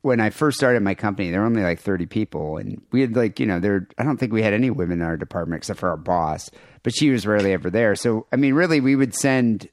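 A man with a loud, piercing, nasal voice, arguing and rambling in a casual podcast chat. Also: on the low side, fast, dry recording. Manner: argumentative, rambling with many restarts. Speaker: podcast co-host.

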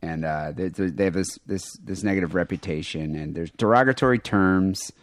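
Brief: male podcast co-host, loud nasal voice, argumentative, dry close sound. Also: sits low, normal speed, no echo. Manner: argumentative, rambling with many restarts. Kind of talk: casual chat.

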